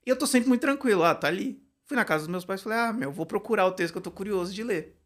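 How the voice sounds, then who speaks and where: A man speaks with a slightly raised pitch, spinning a personal anecdote in a relaxed podcast conversation. He tells it expressively and breaks into laughter as he goes. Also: racing. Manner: storytelling, animated, laughs often. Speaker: Portuguese and creative writing teacher, guest.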